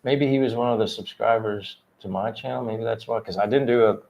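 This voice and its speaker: A man's voice: medium pitch, steady tone, fairly quick, vocal fry, a little mellow. A man in his fifties from Pennsylvania, chatting earnestly and a bit ramblingly on a livestream. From Pennsylvania, USA. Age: 50s. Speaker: livestream co-host. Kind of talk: casual livestream chat.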